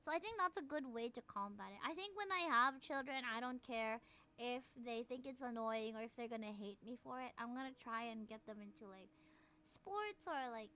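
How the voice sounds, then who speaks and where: High-voiced young Filipino woman streaming. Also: normal speed. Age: young adult. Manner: playful, expressive, slangy. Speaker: livestreamer playing a video game. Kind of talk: casual chat with stream viewers and reading game dialogue aloud. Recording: music playing behind the voice.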